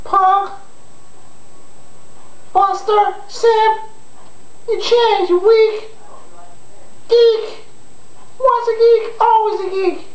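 A man voicing a loud, immature, drunk frat-boy puppet who taunts in slang, in a living room. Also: very high voice, steady tone, very slow, pauses often, highs cut off, full character voice. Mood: taunting. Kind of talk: amateur comedy puppet show. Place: living room, behind a table. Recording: echoey living room, faint noise.

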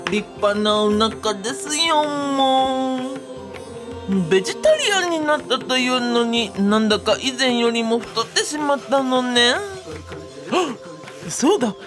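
High, fussy, whiny young man played by a woman. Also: animated, unhurried. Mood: fretful musing. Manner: polite, whiny, quirky sentence endings. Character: fussy, vain, chubby young man, self-pitying and daydreaming. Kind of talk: comic radio drama monologue. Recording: music playing behind the voice.

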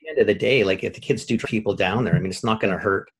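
A man's voice: lowish voice, rapid-fire, slightly rough. A man, co-hosting a casual podcast chat over a video call, rambling and blunt with some casual swearing. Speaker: podcast co-host.

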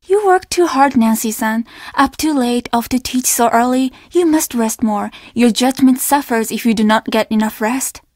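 A woman's voice: fairly high pitch, normal speed, hardly any pauses, darkish tone, no echo, studio quality, natural voice. A woman voicing an earnest, apologetic young innkeeper at a Japanese inn in a video game, speaking with formal politeness and an undertone of trouble. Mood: reassuring, firm. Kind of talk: video game character dialogue.

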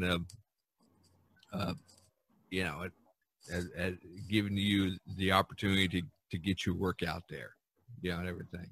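Guy hosting a casual podcast, bright forceful voice, very dry close sound. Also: on the low side, no echo. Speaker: podcast host.

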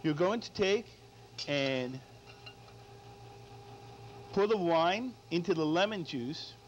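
A man's voice: fairly high pitch, lively intonation, slow pace. A middle-aged male chef giving an unscripted cooking demonstration, often checking in with short tag questions. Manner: frequent tag questions "all right?". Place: studio kitchen. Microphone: lapel mic. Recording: dull lo-fi audio, background noise.

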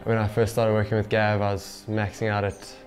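Low, level-voiced young man over music. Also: monotone, a little fast. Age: 20s. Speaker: professional golfer being interviewed. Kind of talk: casual interview talk to camera. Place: small home gym room, indoors. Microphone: lapel. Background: background music.

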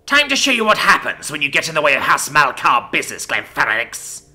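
Haughty female dark elf, man acting, sadistic, sneering, snarling, sharp voice, fantasy story. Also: mid-range voice, sing-song, moderate pace, slightly rough, exaggerated voice. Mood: menacing.

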